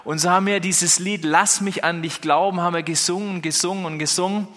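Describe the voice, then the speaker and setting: Bright, forceful young preacher, man. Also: high-pitched, fairly even intonation, fairly quick. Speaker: pastor. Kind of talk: sermon. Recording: long hall reverb.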